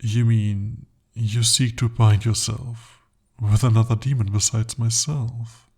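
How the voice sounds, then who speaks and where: A man's voice: on the low side, little pitch movement, a little mellow, breathy edge, full character voice. A man voicing a devoted, possessive male demon in an ASMR roleplay, speaking formally and intimately. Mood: tender devoted. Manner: formal, intimate, slow-spoken address.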